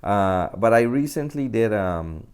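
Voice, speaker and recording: A man from Guyana, talking casually as an interview guest in a halting way, often repeating phrases. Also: lowish voice, lively intonation, darkish tone, clean tone.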